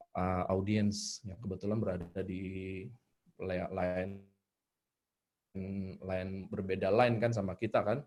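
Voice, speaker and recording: Low-voiced man in casual online talk. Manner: informal, hesitant, searching for words. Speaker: guest storyteller sharing tips. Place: online live video call.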